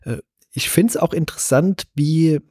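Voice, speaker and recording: A man co-hosting a podcast, talking casually about films in a measured, explanatory way. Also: on the high side, a little mellow, slightly rough, no room sound, clean studio sound. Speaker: podcast host. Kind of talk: casual film discussion.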